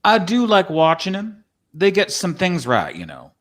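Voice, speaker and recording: A man's voice: high voice, wildly swooping pitch, normal speed, fairly bright tone, full character voice. A man playing a folksy, courtly, wistful cowboy from the Old West in a dramatic script reading. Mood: playful, reminiscing.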